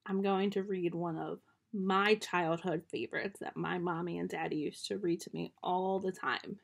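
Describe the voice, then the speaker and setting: A young woman reading a children's picture book aloud at home in a gentle storytime manner, recorded on a close webcam in a small bedroom. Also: on the low side, fairly even intonation, normal speed, creaky, breathy edge.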